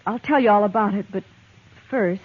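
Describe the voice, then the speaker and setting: A woman's voice: mid-range voice, moderate pace, lightly acted. Woman playing anxious young frontier woman, tinny sound. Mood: pleading. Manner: hesitant, anxious, polite. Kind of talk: 1950s radio western acting. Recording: tinny, band-limited old radio recording.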